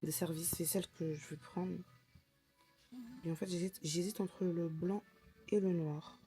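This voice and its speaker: A young woman chatting casually in a vlog, with a stammer that makes her repeat and restart words in a halting way. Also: lowish voice, fairly even intonation, music in the background. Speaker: lifestyle vlogger, mother of young children. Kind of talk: casual chat to camera.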